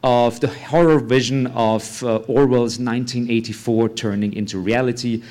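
A man's voice: medium pitch, steady tone, normal speed, darkish tone. A man with an Austrian German accent giving a live talk on stage, blunt, with lots of filler sounds and the odd curse word. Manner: hesitant, many uh fillers, blunt with curse words. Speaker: conference speaker. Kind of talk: live talk without slides.

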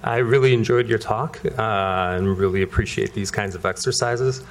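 A man's voice: on the low side, normal speed, slight background noise. A man from the audience politely asking a question and explaining the background before he asks it.

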